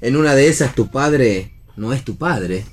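A man's voice: mid-range voice, lively intonation, a little slow. An actor playing a sardonic, provoking man in radio theatre.

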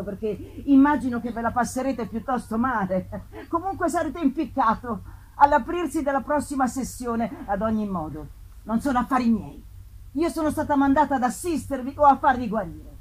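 A woman's voice: sits high, a little mellow, voice pushed up, poor audio. A woman voicing a callous old prison nurse in a gothic story reading, hard, blunt and indifferent. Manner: hard, indifferent, matter-of-fact. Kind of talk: dramatic literary reading. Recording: live reading over ambient noise.

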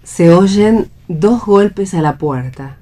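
A woman's voice: fairly low pitch, animated, drawn-out words, mellow. A woman reading stage directions as the narrator of a radio play.

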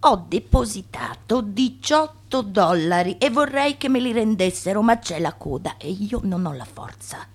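A frail, anxious woman saver in a radio play. She sounds plaintive, with a medium-pitched voice and very lively intonation. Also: measured pace, hardly any pauses. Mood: anxious pleading. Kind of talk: radio drama acting.

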